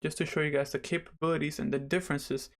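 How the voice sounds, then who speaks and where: A young man hosting a casual livestream, slightly raspy after dental surgery, chatty and rambling as he talks straight to viewers. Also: on the high side, flat delivery, quick pace. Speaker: livestream host.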